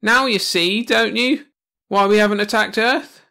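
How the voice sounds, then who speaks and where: Guy doing whiny blubbery alien sloth king, high and dramatic, grumpy, silly scifi audiobook. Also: unhurried, gravelly, sharp tone, smooth, exaggerated voice. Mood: tearful complaining. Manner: whiny, theatrical complaining.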